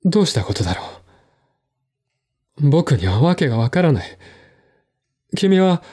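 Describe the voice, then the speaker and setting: A man voicing an agitated, indignant gentleman in a mystery radio drama, with emphatic, exasperated, old-fashioned educated phrasing. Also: mid-range voice, breathy edge. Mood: bewildered. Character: agitated, indignant male host of a mystery gathering. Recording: music behind the voice.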